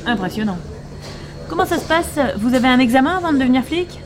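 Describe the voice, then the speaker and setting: A woman playing a sardonic, quick-witted bar regular who teases as she talks, in a naturalistic audio drama. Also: high-pitched, animated, noisy background. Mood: teasing. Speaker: actor in a fiction podcast.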